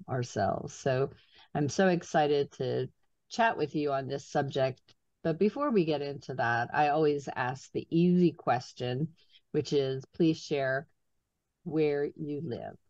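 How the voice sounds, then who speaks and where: A woman's voice: low voice, darkish tone, no room sound, dull audio. A middle-aged woman hosting an interview podcast over a video call from a home office, talking in an upbeat, chatty way. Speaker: podcast host. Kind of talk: casual interview chat. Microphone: video call webcam.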